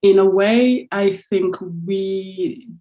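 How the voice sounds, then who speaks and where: A woman in her thirties, a lawyer and advocate, giving a thoughtful public talk at a measured pace with a few fillers. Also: medium pitch, fairly even intonation, warm tone, dull audio.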